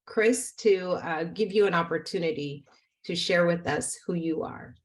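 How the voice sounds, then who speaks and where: A middle-aged woman hosting an online panel on a video call, moderating conversationally with hesitant pauses. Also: on the low side. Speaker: host and moderator. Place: room at home, indoors.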